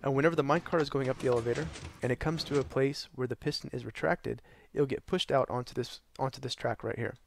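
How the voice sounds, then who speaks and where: A young man narrating a gaming tutorial while losing his voice, hoarse and tired, rambling with many ums and uhs. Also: fast talker, few pauses. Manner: tired, low energy. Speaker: gaming channel host. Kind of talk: casual tutorial narration over gameplay.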